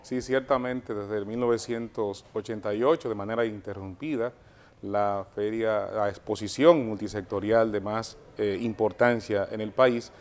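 A Dominican man in his forties, a chamber of commerce representative, speaking in a measured, soft way with fillers as a TV guest on a lapel mic. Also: fairly low pitch, steady tone. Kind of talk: formal guest interview. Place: TV studio set.

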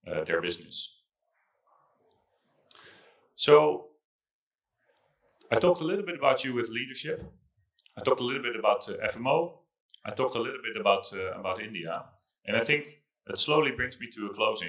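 A middle-aged man giving a keynote speech to an audience through a handheld microphone, with a halting delivery and repeated words. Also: on the low side, fairly bright tone. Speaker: conference keynote speaker, investment fund chief executive. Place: large indoor conference hall stage.

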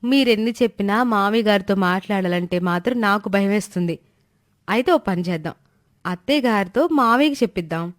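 A woman acts out a timid young wife in a storybook tale. She speaks at a medium pitch, dutiful and anxious, with a coaxing, respectful manner. The recording is dry and close. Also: expressive, fairly quick, no echo, natural voice. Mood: fearful. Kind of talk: animated moral story dialogue.

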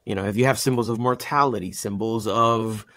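A man's voice: lowish voice, brisk, fairly bright tone, no echo. Puerto Rican man, dry close sound. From Puerto Rico. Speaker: podcast guest. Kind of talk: casual podcast chat.

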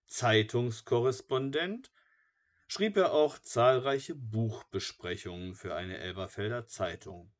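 A man narrating a biography from a script in a measured, matter-of-fact way, like an audiobook. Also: low-pitched.

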